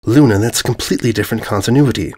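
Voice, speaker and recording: A man's voice: lowish voice, very fast, a little mellow, slightly rough. A man voicing a huge, regal elder pony mare with a composed, polite manner in a fantasy story.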